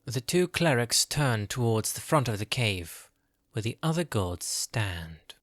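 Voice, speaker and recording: A male narrator delivers scripted fantasy prose. His voice sits at a medium pitch, recorded close and dry. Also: big pitch swings, measured pace, dry recording.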